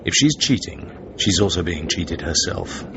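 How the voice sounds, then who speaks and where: An actor with a British accent playing a suave, cool, determined secret agent in a radio drama, clipped and understated. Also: male voice, low voice, big pitch swings, measured pace, vocal fry, full character voice. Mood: measured, cool. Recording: muffled, noisy radio drama recording.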